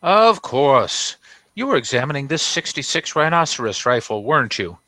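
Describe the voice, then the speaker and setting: Effusive, formal store boss, bright animated pomp, old-time radio comedy male actor. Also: medium pitch, moderate pace, dry recording. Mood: gracious. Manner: pompous, emphatic.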